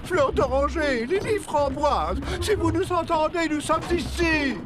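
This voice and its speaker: A man's voice: very high voice, measured pace. A man voicing an anxious, polite, courtly male character in a children's cartoon, formal and plaintive. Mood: calling out anxiously. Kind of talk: dubbed children's cartoon acting. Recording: loud music behind the voice. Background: loud music.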